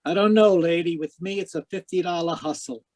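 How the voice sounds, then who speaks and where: An actor playing a cynical, wry private eye in terse, slangy 1940s radio detective style. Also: male voice, high-pitched, brisk, darkish tone, lightly acted. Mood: offhand, cool. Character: cynical wisecracking male hardboiled private eye.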